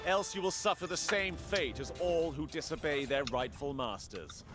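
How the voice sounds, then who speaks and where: An actor playing a stern, calculating Persian satrap in a video game, formal, imperious and menacing. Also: male voice, on the high side, normal speed, lightly acted. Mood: commanding threat. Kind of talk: dramatic video game voice acting. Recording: loud music behind the voice.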